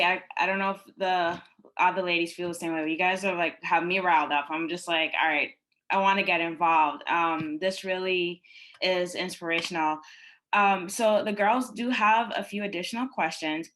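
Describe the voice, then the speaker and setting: A woman's voice: lowish voice, fairly bright tone, low-quality recording. A woman from Guyana moderating a panel, enthusiastic and full of hesitant fillers.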